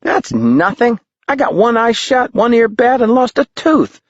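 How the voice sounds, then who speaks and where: A man reading an audiobook, voicing a cheeky, breathless young boy in a high voice. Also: very animated, a little slow, no room sound, dull audio, heavily acted.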